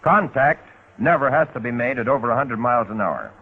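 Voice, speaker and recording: A man's voice: mid-range voice, lively intonation, a little fast, slightly rough. An American man narrating a 1940s instructional film in a scripted, instructional way with folksy, wry touches. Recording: muffled, phone-like 1940s film soundtrack.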